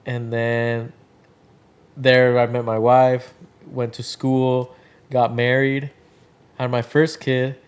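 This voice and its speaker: A man from Venezuela in his thirties talks at an easy, unhurried pace. His voice sits at an ordinary middle pitch. He rambles with plenty of fillers. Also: smooth. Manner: relaxed, rambling, many fillers. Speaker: guest, drink brand founder. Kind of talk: casual interview chat.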